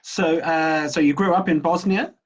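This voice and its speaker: A man in his sixties conducting an informal interview as host, speaking haltingly with frequent pauses, with a husky voice. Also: fairly high pitch, fairly even intonation. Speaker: interviewer, university associate dean. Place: small home room, indoors. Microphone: computer microphone on video call. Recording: dull, lo-fi video call audio.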